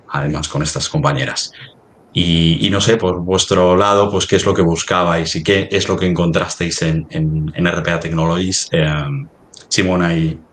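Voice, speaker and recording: A man hosting a webinar in unscripted talk, hesitant and often searching for words. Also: low-pitched. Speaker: webinar host and company representative. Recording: noisy webinar microphone.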